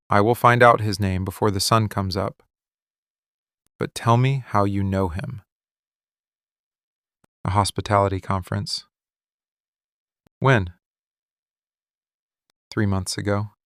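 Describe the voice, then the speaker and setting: A possessive, wounded mafia boss, played by a man in a dramatic ASMR monologue. His voice is on the low side and he takes his time, weighing each short phrase with controlled intensity. The sound is close and very dry. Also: steady tone, slow, pauses often, fairly bright tone, no room sound. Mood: controlled, interrogating. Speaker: ASMR voice actor.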